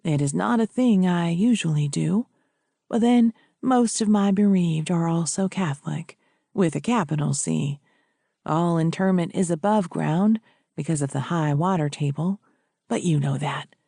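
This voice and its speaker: A composed, kindly priest voiced by a woman narrator speaks in a lowered, mellow tone. He is gentle and formal, choosing his words deliberately, like a character in a storybook reading. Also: gravelly, no echo, clean studio sound.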